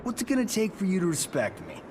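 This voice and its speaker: Cocky, high-voiced action movie star. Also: male voice, wildly swooping pitch, quick pace, background noise. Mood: flippant. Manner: flippant, smug quipping. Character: cocky wisecracking Hollywood action star fighter, adult man. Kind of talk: video game character acting. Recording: loud game music behind.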